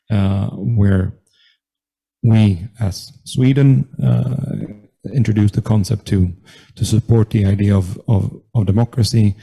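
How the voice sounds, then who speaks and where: A man moderating a panel event, giving formal opening remarks in a halting way, full of fillers and restarts. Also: lowish voice, creaky, dark tone, husky. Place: event room with audience.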